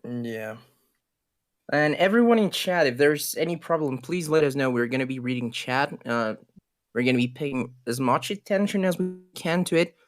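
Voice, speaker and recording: Man, Ecuadorian accent, native Spanish speaker, esports caster, mid-pitched, casual improvised chat, dry recording. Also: expressive, moderate pace, dry recording. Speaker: esports commentator.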